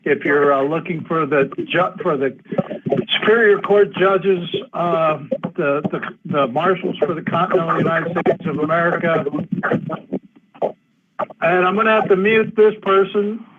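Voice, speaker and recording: Halting man on a tinny phone line. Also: fairly high pitch, noisy background. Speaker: conference call host and moderator. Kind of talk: informal, halting meeting talk. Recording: tinny, phone-line group conference call.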